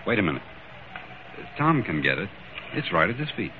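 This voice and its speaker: An actor playing a concerned but guarded doctor, polite, measured and probing, in old-time radio drama style. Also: male voice, sits low, sing-song. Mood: grave. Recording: tinny, very noisy old radio broadcast.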